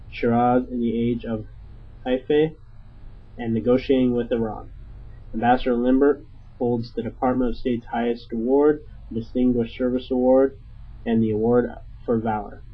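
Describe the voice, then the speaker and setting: Male announcer reading a lecture intro, flat and husky, kinda dull sound. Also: medium pitch. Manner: even, measured reading. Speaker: program announcer. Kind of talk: scripted introduction read aloud.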